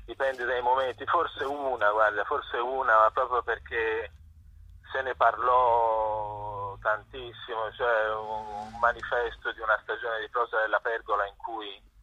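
A man's voice: lowish voice, little pitch movement, measured pace, sharp tone, dry recording. A middle-aged man answers interview questions conversationally, hesitating and repeating himself as he searches for words. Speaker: interviewed visual artist. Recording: dull, telephone-like radio sound.

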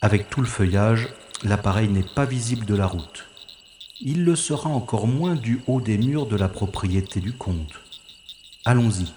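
A man playing a space secret agent on a covert mission, deliberately narrating his actions to himself in an audio drama monologue. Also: on the low side, expressive, a little mellow, lightly acted. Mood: focused, wary. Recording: roomy reverberant sound with faint noise.

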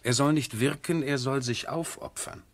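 An actor playing an eager, persuasive aristocratic husband in a radio drama, speaking in an earnest, coaxing, gentlemanly way. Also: male voice, medium pitch, moderate pace, lightly acted. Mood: earnest, urging. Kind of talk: 1970s German radio drama acting.

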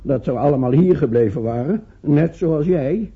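A man's voice: on the high side, expressive, moderate pace, breathy edge, full character voice. An actor playing a lonely, paralysed man in his seventies in a radio play, querulous and self-pitying, with plaintive swings from murmur to outburst. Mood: sceptical, aggrieved. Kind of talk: radio play acting in Dutch. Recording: old radio play, narrow phone-like muffled sound.

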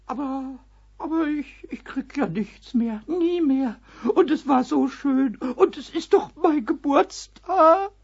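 A man playing a cheeky, whiny, childish little kobold in a children's radio play, squeaky and theatrical, often repeating words. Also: slow, vocal fry, heavily acted. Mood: tearful, wailing. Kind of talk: 1970s German children's radio play acting. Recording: dull vintage radio play recording.

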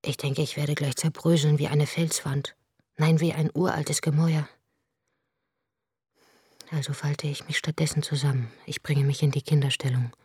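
A woman's voice: deep voice, level tone, normal speed, darkish tone, breathy edge, no echo, clean studio sound. An actress playing a grieving, childless woman who tells her story in a wry, bitter radio drama monologue.

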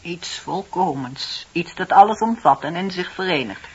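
An actress playing a sympathetic, knowing confidante in a radio play, soothing and gently prompting in a measured way. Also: female voice, on the low side, animated, husky. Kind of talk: Dutch radio play acting. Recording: muffled, dull radio play recording.

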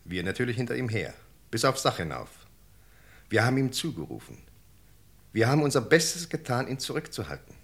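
A man's voice: mid-range voice, sing-song, a little fast, pauses often, a bit bright. An actor playing an earnest British police sergeant in a 1960 German radio drama, formally polite and insistent as he questions a witness. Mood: earnest explaining.

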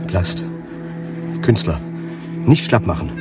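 An actor playing an excitable, self-absorbed male bar patron in a satirical radio play, exclamatory and fussy. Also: low-pitched, slow, over music, exaggerated voice. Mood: flustered.

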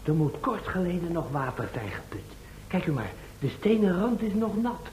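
A man's voice: sits high, big pitch swings, normal speed, darkish tone, low-quality recording. A man playing an observant, plain-spoken expedition helper in a radio play. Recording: faint noise and clicks.

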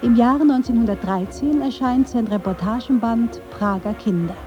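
A woman's voice: fairly high pitch, normal speed, highs cut off, over music. A woman reading scripted narration for a radio documentary.

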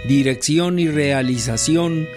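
A man announcing radio production credits in a formal, scripted, measured style. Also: a little high. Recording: string music behind. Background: guitar and violin music.

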